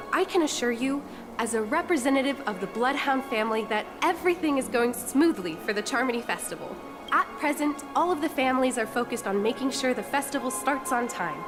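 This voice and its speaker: A woman playing a courteous, reassuring official representative in a video game, speaking formally and with assurance. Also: high voice. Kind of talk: scripted video game voice acting.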